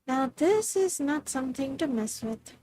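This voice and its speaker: A woman's voice: sits high, animated, measured pace, mellow, a little hiss or hum. A woman with an Indian accent giving casual live game commentary, playfully talking to herself and reading on-screen text. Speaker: game streamer.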